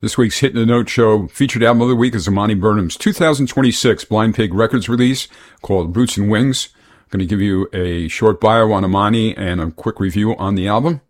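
Guy hosting a radio music show, deep voice, reading scripted album notes. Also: brisk.

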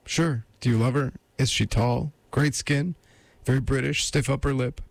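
An agitated, frightened woman acted out by a male reader in an audiobook. The voice is pitched up and very dry, pleading and talking on nervously, with blunt, probing questions. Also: steady tone, moderate pace, vocal fry, no room sound. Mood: probing, teasing. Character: agitated, frightened, talkative woman on hotel phone amid gunfire.

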